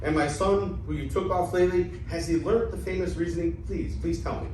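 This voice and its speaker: Excitable old debtor father played by a man, theatrical, stumbling delivery, higher-pitched, echoey room. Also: brisk, natural voice. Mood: eager. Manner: theatrical, exclamatory, stumbling over words. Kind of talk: amateur dramatic play reading. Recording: echoey room, distant mic, faint noise.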